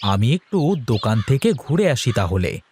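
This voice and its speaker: A man voicing an easygoing, kindly village man in a cartoon, expressive and reassuring. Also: mid-range voice, moderate pace, a little hiss or hum, lightly acted. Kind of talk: cartoon voice acting.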